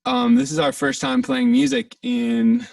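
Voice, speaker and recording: A man's voice: extremely high pitch, flat delivery, unhurried, vocal fry, a little mellow, no room sound, clean studio sound, far from the mic. A man from a band, chatting easygoingly in a radio interview and trailing off into restarts. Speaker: band member guest. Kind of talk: casual interview chat. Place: band rehearsal room.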